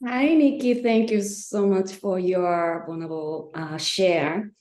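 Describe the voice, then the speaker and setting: A woman in her forties with a Japanese accent, a posture coach joining a video call, speaking haltingly with frequent "uh". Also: fairly low pitch, darkish tone, echoey room. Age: 40s. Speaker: posture coach, audience guest. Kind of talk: casual question on a video call.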